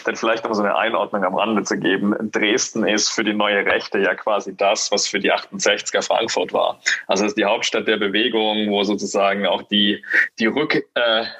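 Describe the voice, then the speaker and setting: A man's voice: lowish voice, a bit bright, breathy edge. A man co-hosting a conversation, giving context in a conversational commentary style.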